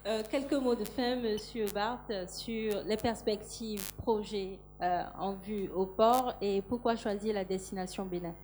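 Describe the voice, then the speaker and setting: A woman's voice: mid-range voice, fairly even intonation, unhurried, hardly any pauses, a little mellow, slight background noise. A woman moderating a business panel, politely thanking speakers and asking short questions.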